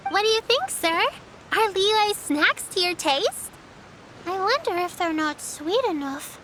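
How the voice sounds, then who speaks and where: A woman voicing a well-mannered, caring little girl in a video game, earnest, formal and gently instructive. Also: very high voice, lively intonation, a little slow, slight background noise, exaggerated voice, low-quality recording. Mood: kind, hospitable.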